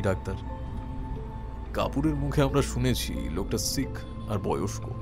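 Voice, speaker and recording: A man playing a composed detective in an audio drama, speaking deliberately with polite authority and a probing, reasoning manner. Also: medium pitch, close to an everyday voice. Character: composed, probing male private detective on a case.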